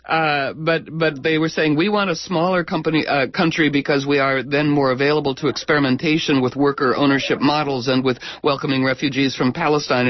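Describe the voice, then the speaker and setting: A man hosting a radio talk show, chatting in a rambling, effusive way with many fillers and restarts. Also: medium pitch. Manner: digressive, full of fillers and restarts. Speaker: radio host. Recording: dull, boxy radio audio.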